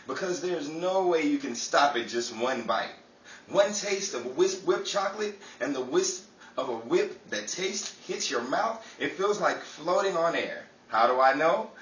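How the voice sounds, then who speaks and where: Actor as 1930s radio announcer, bright forceful tone, gushing sales pitch, savoring emphasis, echoey distant mic. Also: male voice. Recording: echoey, distant mic, faint crowd noise.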